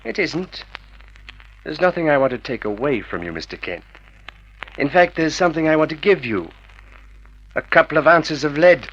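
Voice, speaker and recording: An actor playing a giggly, teasing joke shop owner and husband in an old radio drama. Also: male voice, on the high side, expressive, moderate pace, pauses often, fairly bright tone, slight background noise. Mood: mock menace. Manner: teasing, constantly giggling and chuckling. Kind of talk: mid-century radio drama acting. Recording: muffled vintage radio drama recording.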